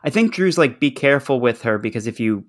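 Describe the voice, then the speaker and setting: A man's voice: medium pitch, lively intonation, fast talker. A man co-hosting a podcast in casual chat, informal and given to acting out lines in asides. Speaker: podcast co-host. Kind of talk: casual chat recapping a show.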